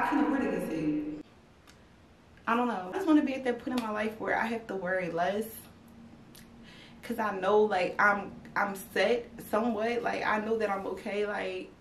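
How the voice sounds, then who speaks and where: A woman's voice: medium pitch, distant mic, a little hiss or hum. Stuffy-sounding young woman vlogging in an echoey room. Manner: rambling, filler-heavy, talks straight to viewers. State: slightly congested, stuffy. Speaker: YouTube vlogger. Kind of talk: casual chat to camera.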